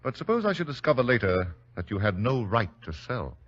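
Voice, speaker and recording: Suave, suspicious aristocratic villain, lilting delivery. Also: male voice, mid-range voice, very animated, a little fast, husky. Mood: suspicious probing. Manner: silky, over-polite, probing. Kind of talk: 1940s radio drama acting. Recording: muffled vintage radio recording.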